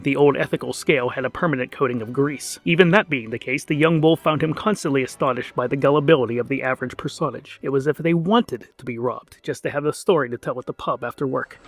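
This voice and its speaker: A man's voice: mid-range voice, fairly bright tone. A man narrating a scripted comic fantasy tale in a wry, theatrical manner. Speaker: narrator and series creator.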